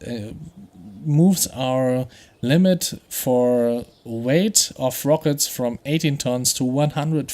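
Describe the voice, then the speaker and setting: A man's voice: medium pitch, a little mellow. A man with a German accent, German his first language, hosting a game playthrough and explaining his steps in a chatty way that thinks aloud. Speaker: gaming channel host.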